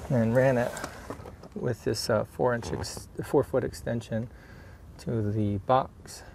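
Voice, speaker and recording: Man, gravelly creaky voice, mid pitch, casual how-to narration, plainspoken, noisy vehicle ambience. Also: steady tone, takes it slowly, a little mellow. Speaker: DIY video creator.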